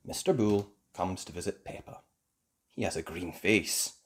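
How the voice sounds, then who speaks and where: A woman narrating a children's storybook aloud, slow and expressive. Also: extremely low pitch, breathy edge. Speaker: storybook reader.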